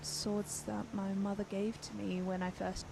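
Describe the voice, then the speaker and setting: A woman acting a slow-spoken, guarded traveller. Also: medium pitch, little pitch movement, gravelly, noisy background. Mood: uneasy recounting. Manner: measured, halting, dramatic pauses. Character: guarded young woman traveller seeking a hired sword. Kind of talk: fantasy video game dialogue. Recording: game music behind.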